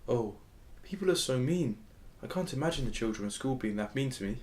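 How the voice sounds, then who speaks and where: A man's voice: medium pitch, lively intonation, brisk, a little mellow, lightly acted. A man playing an innocent, curious grandson in a radio drama, with a naive, questioning manner. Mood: dismayed.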